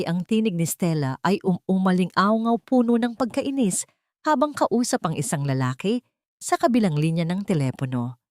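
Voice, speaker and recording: A woman's voice: mid-range voice, a little mellow, no echo, studio quality. A woman reading a dramatic story as a scripted narration, with a solemn, ornate storytelling delivery.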